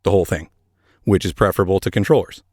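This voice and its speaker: A man's voice: low voice, steady tone, quick pace, darkish tone, no room sound, clean studio sound. A man hosting a podcast, delivering scripted narration in a conversational way with wry asides. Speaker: podcast host; air traffic controller.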